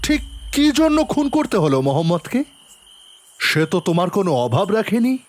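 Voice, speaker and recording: A man's voice: high voice, sing-song, normal speed, darkish tone. A man narrating a detective story dramatically in the first person, turning stern when he questions as the policeman. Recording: long reverb, faint music behind.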